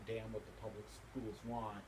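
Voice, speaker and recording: A man's voice: on the low side, flat delivery, moderate pace. A man conducting an informal interview, speaking in an opinionated, emphatic way and repeating phrases for effect. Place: inside a bookstore. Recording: faint background noise.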